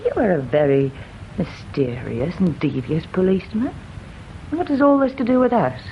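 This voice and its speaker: A woman's voice: fairly low pitch, sing-song, normal speed. An icy, defensive English married woman in a radio mystery. She speaks in formal, clipped phrases. The recording is dull, with faint noise. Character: cool, haughty married woman, sharp-tongued and defensive. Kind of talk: British radio drama acting.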